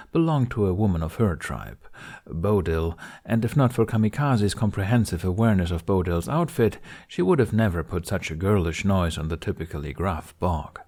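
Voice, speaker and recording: A man with a fairly low voice reads a fantasy novel aloud as an audiobook narrator. His delivery is scripted storytelling, carried in that lower register. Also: darkish tone.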